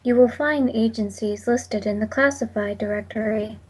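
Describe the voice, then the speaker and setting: An actress playing an impassive telephone operator, speaking with measured, formal politeness in 1940s radio drama style. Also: female voice, fairly high pitch, breathy edge, rough recording. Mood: detached, polite. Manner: measured, detached, scripted politeness.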